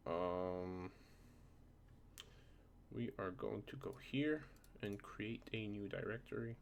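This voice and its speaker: A man presenting a software tutorial informally, often checking that the listener is following along. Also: lowish voice, fairly even intonation, slow, gravelly, slight background noise. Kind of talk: casual screen-recorded software tutorial.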